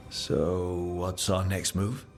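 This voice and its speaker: An actor playing a wry, supportive adventurer and leader in a video game, with a casual delivery. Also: male voice, low-pitched, fairly even intonation, takes it slowly, soft background music. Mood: supportive, focused.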